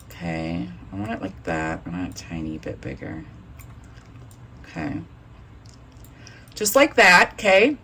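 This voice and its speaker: A woman with a low voice talks through an embroidery design program one click at a time. Her delivery is chatty and unscripted, with lots of thinking aloud as she works. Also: fairly bright tone. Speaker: embroidery shop owner demonstrating software. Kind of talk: casual screen-recorded software tutorial.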